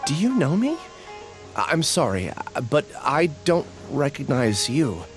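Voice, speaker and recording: A man's voice: a little high, wildly swooping pitch, a little slow. A man playing a timid young male researcher who speaks hesitantly and apologetically in a video game. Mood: anxious. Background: game music.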